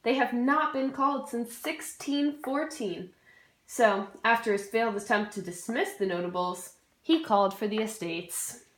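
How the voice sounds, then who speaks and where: A woman's voice: fairly high pitch, unhurried, reverberant. A young woman presenting a scripted educational puppet show, with playful, informal asides. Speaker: student presenter.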